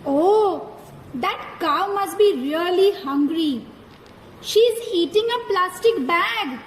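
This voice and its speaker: A woman's voice: very high voice, animated, takes it slowly, heavily acted. A woman voicing an eager, curious young child in a children's puppet show. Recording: echoey hall, background noise.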